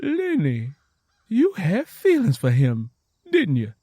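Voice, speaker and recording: An eager young Southern woman played by a male narrator in an audio story. Her pitch sits high and swings widely as she speaks in an earnest, folksy drawl, recorded close and dry. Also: slow pace, breathy edge, no room sound, full character voice. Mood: defensive, indignant. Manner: excited, earnest, folksy dialect.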